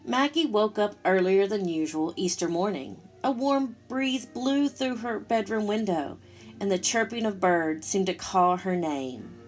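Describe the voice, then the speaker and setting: A woman storyteller reading a picture book aloud to children in an expressive way, talking directly to her listeners. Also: medium pitch, unhurried, faint music behind. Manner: expressive, asks the listeners questions.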